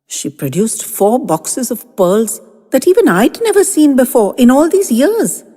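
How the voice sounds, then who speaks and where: A woman narrating a first-person story in a gentle, expressive reading with lingering phrases. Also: medium pitch, slightly rough, big-room sound.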